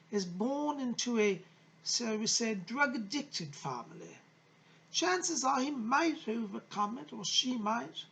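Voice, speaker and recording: A woman's voice: a little high, creaky, a bit bright, full character voice, low-quality recording. Woman channelling Rose, an effusive, swooping lady spirit. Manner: theatrical, gushing, genteel, endearing address. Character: Rose, effusive, motherly female spirit from the early 1900s.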